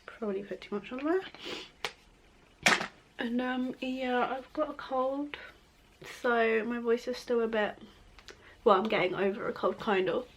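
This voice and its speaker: A young woman chatting casually through a makeup tutorial, her voice a little croaky from a cold, rambling and laughing easily. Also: a little high, measured pace, pauses often, slight background noise, poor audio. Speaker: beauty vlogger.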